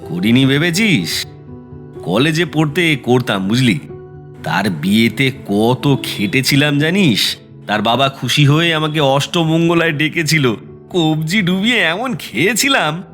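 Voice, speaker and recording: An actor playing a kindly, easygoing middle-aged neighbourhood bachelor in an audio drama, speaking colloquially with breezy earnestness. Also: male voice, a little high, animated, measured pace, a bit bright. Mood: breezy, amused. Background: background music.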